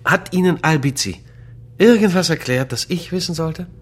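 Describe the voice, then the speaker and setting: An actor playing a matter-of-fact police investigator in a radio crime drama, questioning drily. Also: male voice, on the high side, normal speed, slightly rough. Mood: dry, questioning. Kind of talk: German radio drama acting.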